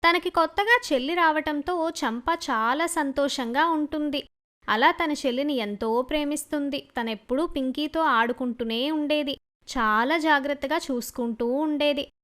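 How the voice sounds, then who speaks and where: A woman's voice: high-pitched, normal speed, a bit bright. A woman reading a scripted children's story as its narrator.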